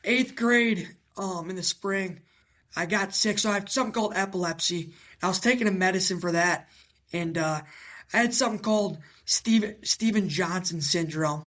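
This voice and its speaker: A man in his twenties from Iowa with a raspy voice, answering interview questions in a halting, casual way with many fillers. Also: sits high, a bit bright. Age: 20s. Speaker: guest, young cattle breeder.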